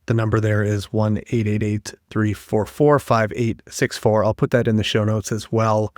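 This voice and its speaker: Male podcast host, friendly and conversational, mid pitch, dry studio sound. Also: flat delivery, normal speed, a little mellow, no echo. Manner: friendly, conversational, reads out resource details. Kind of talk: interview hosting with scripted info segments.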